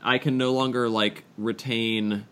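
A male podcast host speaking casually in a middle-pitched voice. He talks conversationally, as in a book club chat among co-hosts. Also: level tone, unhurried, a bit bright.

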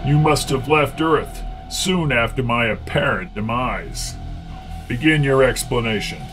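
An actor playing an ancient, gloating male tyrant who speaks slowly and menacingly in a radio drama, with music underneath. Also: mid-range voice, lightly acted. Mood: commanding. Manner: slow, menacing, commanding.

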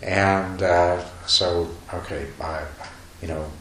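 Man, meditation teacher, low voice, rambling with restarts, echoey room, faint hiss. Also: fairly even intonation, slow. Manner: rambling, many restarts, chuckles softly. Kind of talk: dharma talk to retreat group. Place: retreat meditation hall.

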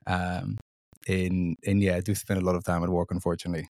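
A man's voice: sits low, little pitch movement, brisk, darkish tone, no room sound, clean studio sound. A middle-aged man from Israel, a fund CEO answering interview questions in a matter-of-fact way with hesitant fillers. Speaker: venture fund CEO, guest.

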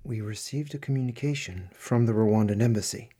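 Male official, radio drama, raspy, dark timbre, stern, demanding, blunt. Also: fairly low pitch, fairly quick. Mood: angry, demanding. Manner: harsh, demanding, interrogating. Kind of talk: radio play acting.

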